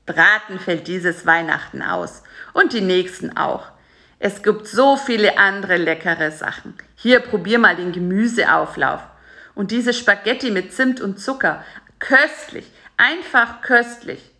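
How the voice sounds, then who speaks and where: A woman voicing a fat male spider who speaks calmly in a storybook reading. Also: fairly low pitch, measured pace, full character voice. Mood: warm. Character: fat male spider, gruff but softening.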